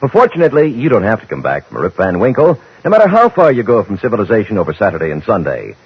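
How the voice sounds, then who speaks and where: A man's voice: lowish voice, huge pitch swings, rapid-fire, breathy edge. An American man announcing for network radio in a polished, emphatic 1950s broadcast style. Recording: dull old radio recording, faint music.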